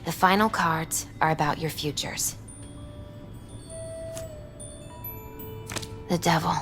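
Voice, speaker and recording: A woman plays a young female fortune-teller in a game, with a slightly low voice. She is earnest and mystical, choosing her words in a measured, solemn way. Light music runs beneath her. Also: fairly even intonation, close to an everyday voice. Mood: grave warning. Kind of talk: naturalistic video game acting.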